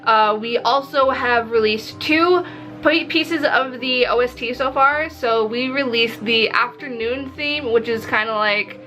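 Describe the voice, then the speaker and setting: A woman chatting casually in a video diary, with an animated, expressive, self-mocking manner and casual swearing. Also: a little high, unhurried. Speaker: visual novel developer and vlogger. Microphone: recording to camera. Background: background music.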